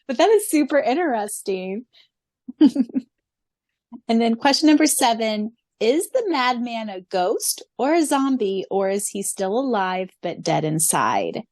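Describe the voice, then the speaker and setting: A woman's voice: a little high, very animated, unhurried, darkish tone, no echo, clean studio sound. A woman co-hosting a casual podcast, reading out questions and an author's answers and laughing often. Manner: giggly, reads answers aloud. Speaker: podcast co-host.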